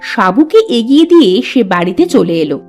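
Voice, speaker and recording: A woman narrating a cartoon fairy tale in a lively storytelling manner. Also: on the high side, moderate pace, soft background music.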